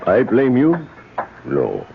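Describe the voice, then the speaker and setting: An actor playing a grieving native man in a radio drama, outwardly polite and secretly vengeful, speaking in slow, deliberate, formal phrases. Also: lowish voice, huge pitch swings, creaky, heavily acted. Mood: solemn, persuasive. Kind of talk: 1970s radio drama acting. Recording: noisy, dull old radio broadcast.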